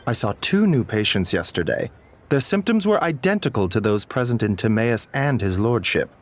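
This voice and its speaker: A man voicing a polite, earnest physician in a video game, speaking in a measured, formal and courteous way. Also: medium pitch, big pitch swings, slight background noise, lightly acted. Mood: grave concern. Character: polite, earnest male physician with grave concern.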